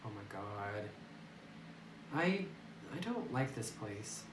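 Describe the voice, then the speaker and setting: A man's voice: fairly low pitch, sing-song, slow pace. A man playing through a horror visual novel, reading the game text aloud and reacting nervously to scary moments, recorded on a phone mic some distance away. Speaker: let's play gamer. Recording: distant roomy phone audio with noise.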